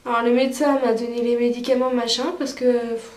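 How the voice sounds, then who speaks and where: A woman's voice: a little high, little pitch movement, brisk, a little mellow, reverberant. A woman vlogger with a cold, chatting casually through a makeup tutorial with many fillers. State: stuffy with a cold. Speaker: beauty vlogger.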